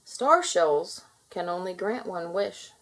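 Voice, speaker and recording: A wise, kindly merman king from a children's storybook, read by a woman. He explains things in a calm, measured manner. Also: lowish voice, heavily acted. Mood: kindly, explaining.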